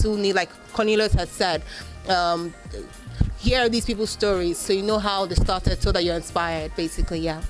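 A lively Nigerian woman whose first language is Igbo, answering interview questions with energy. She breaks into acted-out quotes and uses lots of "um" and "like". Her voice sits in a middle range, with faint music behind her. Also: medium pitch. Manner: animated storyteller, acts out quoted voices, frequent fillers. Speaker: podcast host being interviewed. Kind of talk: live panel interview.

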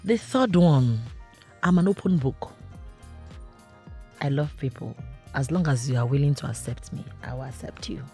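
A woman from Cross River in Nigeria, speaking earnestly as a guest in an interview, with a loud, carrying voice. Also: a bass voice, normal speed, pauses often, soft background music. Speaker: interview guest, industry veteran. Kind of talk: conversational interview.

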